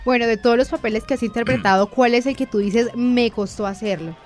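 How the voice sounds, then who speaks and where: A woman interviewing a guest, friendly and quick to laugh. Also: fairly high pitch, a little mellow, soft background music. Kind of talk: interview questions.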